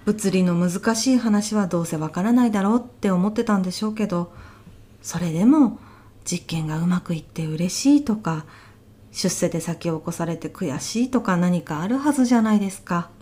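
A woman playing an elderly widow in an audio comedy sketch, polite and soft-spoken, with deadpan, bewildered reactions. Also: lowish voice, normal speed. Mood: polite, wistful.

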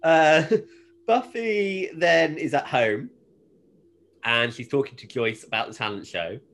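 A podcast host recapping a TV episode in casual, jokey chat, retelling scenes in an animated way. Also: medium pitch, slow pace.

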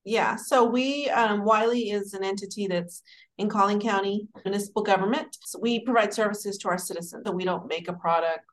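A woman in her forties from Dallas, telling her career story as an interview guest in a warm, rambling, conversational way. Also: mid-range voice, brisk, poor audio. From Dallas, Texas. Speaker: guest, city administrator.

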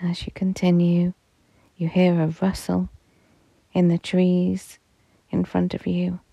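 A woman guides a visualization meditation with a soft, soothing manner, her voice low-pitched and warm. She speaks very slowly, letting each phrase settle, and at times sinks nearly to a whisper. The recording is close and dry, with no room sound. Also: completely flat delivery, gravelly, breathy edge, no echo.

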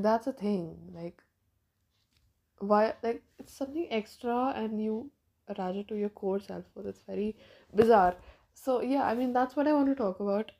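A nineteen-year-old woman from India talks through her own podcast episode, circling back and restarting her thoughts as she goes. Her voice sits a little high but has a mellow, warm tone. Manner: rambling, thinking aloud with many fillers and restarts. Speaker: podcast host. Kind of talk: casual solo podcast monologue.

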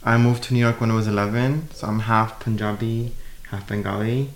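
A man's voice: fairly low pitch, steady tone, brisk. Chatty man in an echoey room. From Germany. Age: 30s. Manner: chatty, uses "like" often. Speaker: guest. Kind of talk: casual interview.

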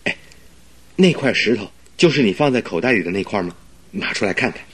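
Male actor playing earnest puzzled doctor, lively intonation, questioning, radio drama, slightly noisy recording. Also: lowish voice, brisk, natural voice. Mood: puzzled, surprised. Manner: questioning, mildly chiding. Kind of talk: radio drama acting in Mandarin.

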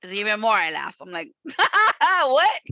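A woman in her forties co-hosting a casual chat, frank and animated, laughing often and swearing freely. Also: medium pitch, a little slow, no echo. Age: 40s. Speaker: podcast co-host.

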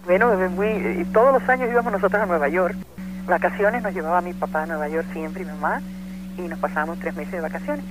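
An older woman with a Cuban accent, a singer being interviewed over the phone, remembering warmly and laughing easily. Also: on the low side, fairly even intonation, fast. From Cuba. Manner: warm, reminiscing, laughs easily. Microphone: phone line. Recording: noisy phone line.

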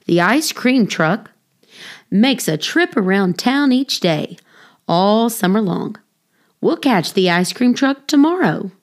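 Woman voicing a kindly, slow-talking storybook man. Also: mid-range voice, sing-song. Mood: reassuring. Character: kindly, reassuring grown man looking after a pet monkey.

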